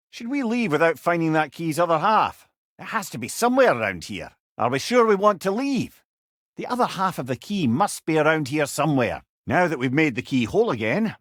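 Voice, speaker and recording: Video game companion: old Scottish sage, wry, folksy, eloquent, very animated intonation, dry studio sound. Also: male voice, on the high side, dry recording. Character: wise, wry, talkative old male companion, sentimental. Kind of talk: video game character dialogue.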